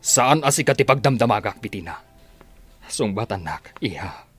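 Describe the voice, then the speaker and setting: Stern Ilocano father played by an actor, swinging pitch. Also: lively intonation, normal speed, a bit bright, breathy edge, soft background music. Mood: stern, reproachful. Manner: confronting, commanding. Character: stern protective father ordering people out of his house. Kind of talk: radio drama acting.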